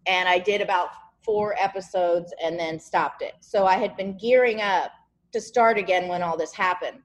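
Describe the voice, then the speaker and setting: A woman's voice: on the low side, normal speed, poor audio. A woman hosting a podcast over a video call, talking casually in a rambling, encouraging way and often searching for words. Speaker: podcast host.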